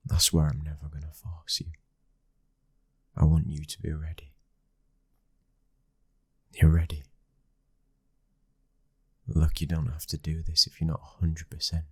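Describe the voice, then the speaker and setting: Casting: male actor as devoted young British mafia son, very low husky voice, tender and protective, ASMR boyfriend roleplay. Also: no echo, heavily acted. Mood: gentle, loving. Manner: soft, breathy, often near-whispered, tender.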